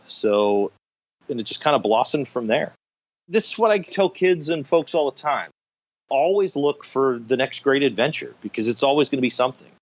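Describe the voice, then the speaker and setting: Joking American man, dull call audio. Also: mid-range voice. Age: 50s. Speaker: podcast guest. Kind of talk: casual interview storytelling. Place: remote online call.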